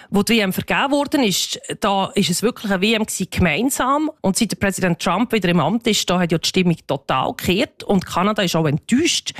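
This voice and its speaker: A woman's voice: mid-range voice, dry recording, clean studio sound. A Swiss woman speaking with a Swiss German accent, a foreign correspondent answering radio interview questions thoughtfully, with fillers and restarts. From Switzerland.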